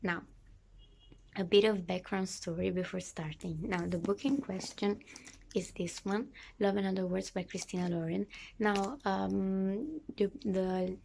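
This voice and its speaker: A young woman whose first language is Italian talks through her reading in a relaxed vlog style. Her voice is mellow and warm, sitting at an ordinary middle pitch. She speaks chattily, leaning on fillers and small restarts. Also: unhurried, creaky, a little hiss or hum. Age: young adult. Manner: chatty, many fillers and restarts, occasional laughs. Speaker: book vlogger. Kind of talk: casual reading vlog talk.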